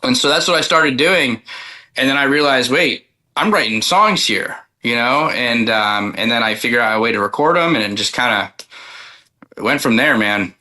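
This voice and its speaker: A man's voice: mid-range voice, animated, moderate pace, bright, forceful tone. A man chatting casually as an interview guest, a country singer-songwriter telling personal stories in a loose, rambling, informal way.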